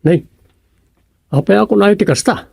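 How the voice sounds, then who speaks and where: Woman acting flustered villager on a phone call, gravelly, dark-toned, Ilocano accent, radio comedy. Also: medium pitch, moderate pace, no echo. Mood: puzzled.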